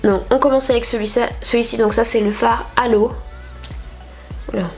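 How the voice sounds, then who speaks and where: A woman's voice: on the high side, steady tone, moderate pace, creaky, soft background music. A young woman with a cold and a nasal voice, chatting casually through a makeup tutorial with lots of fillers. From France. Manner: chatty, rambling with many fillers. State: stuffy nose from a cold. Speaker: beauty vlogger.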